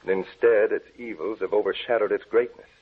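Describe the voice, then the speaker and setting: A troubled young research scientist in a vintage radio drama, low-voiced and husky. He speaks in a tense, brooding, earnest way. The sound is thin and tinny, like an old radio broadcast. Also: fairly even intonation, fairly quick. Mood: grim. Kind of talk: 1940s radio acting.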